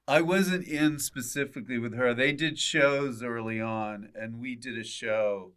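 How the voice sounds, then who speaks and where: A man hosts a casual comedy podcast with a smooth, clear voice at an average pitch. He talks informally and playfully, in a jokey conversational way. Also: unhurried, fairly bright tone. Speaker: podcast host. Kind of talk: casual comic podcast chat.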